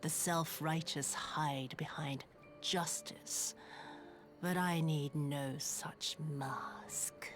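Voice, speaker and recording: An actress playing a bitter, vengeful young villainess in a video game, with slow, breathy, theatrical menace. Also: female voice, low voice, fairly even intonation, in a whisper. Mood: vengeful, spiteful. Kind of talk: dramatic video game voice acting. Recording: game audio with music underneath.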